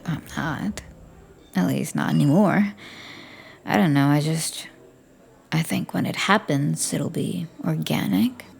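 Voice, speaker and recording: Soft-spoken flirty woman with a gravelly voice. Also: deep voice. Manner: soft, breathy, flirty and teasing, often near a whisper. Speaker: audio roleplay performer. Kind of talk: scripted audio roleplay, flirty bartender.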